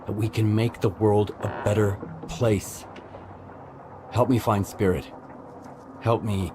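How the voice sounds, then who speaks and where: Low-voiced, stoic soldier. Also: male voice, fairly even intonation, takes it slowly, noisy background. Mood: earnest, determined. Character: determined, steady male veteran soldier, stoic and earnest. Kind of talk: video game cutscene acting.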